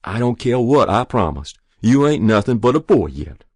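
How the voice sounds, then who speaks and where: Storybook mother, played by a man: rural Southern, stern, blunt, scolding, exasperated, lively higher-pitched delivery. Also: moderate pace, no room sound.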